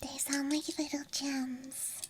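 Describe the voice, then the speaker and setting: A young woman whispering softly and playfully into a close microphone in casual, rambling ASMR style, musing aloud to herself. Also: sits high, flat delivery, slow pace. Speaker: ASMR streamer. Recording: background noise, ambience with clicks. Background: night campground ambience, clicking of small objects.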